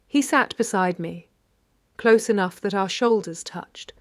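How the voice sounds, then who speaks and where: An actress playing a wry, brave 1930s London lady detective, narrating in a measured, literary British voice. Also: mid-range voice, no room sound, clean studio sound, lightly acted. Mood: spent, tender. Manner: measured, literary, dryly understated. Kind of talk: scripted first-person audio drama narration.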